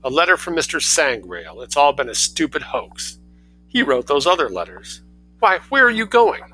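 A man reading a story aloud, voicing an excitable middle-aged mother in high, shrill, sobbing outbursts. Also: moderate pace, faint music behind, rough recording. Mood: chastened.

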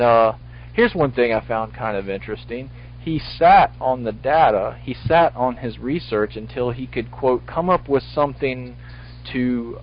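A man hosting a casual call-in radio show, rambling and full-voiced, with many restarts. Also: mid-range voice. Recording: lo-fi radio stream with faint hiss.

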